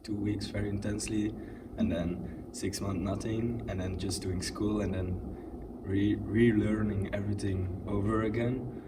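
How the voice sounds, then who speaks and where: Very deep, flat-voiced young man. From Belgium. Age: 20s. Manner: halting, restarts words. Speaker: young actor interviewed. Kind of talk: casual interview answers. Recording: distant mic with loud noise.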